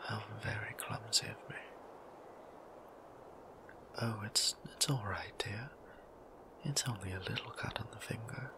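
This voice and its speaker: A man voicing a shy, gentle, centuries-old vampire priest in an intimate ASMR roleplay, breathy and halting, with genteel old-fashioned wording and a flustered stammer. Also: poor audio. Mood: bashful, reassuring. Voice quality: breathy, whispery, low.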